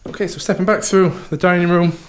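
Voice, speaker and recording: Upbeat estate agent man, slightly high voice. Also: little pitch movement, fast, a little hiss or hum. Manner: upbeat sales patter, keeps saying "okay" and "fantastic". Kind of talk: property tour walkthrough.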